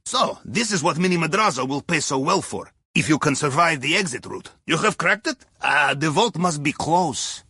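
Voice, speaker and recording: A man playing a wry Russian submarine captain in a video game, briefing a heist crew with deadpan, folksy remarks and a Russian accent. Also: fairly high pitch, moderate pace, hardly any pauses, breathy edge, dry recording, full character voice. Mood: wry, focused. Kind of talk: scripted game mission briefing.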